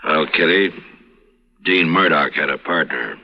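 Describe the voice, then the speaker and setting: An actor playing a calm, steady frontier marshal in a 1950s radio drama. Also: male voice, rumbling low, heavily acted. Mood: somber. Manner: calm, measured. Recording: lo-fi old radio recording in a reverberant room.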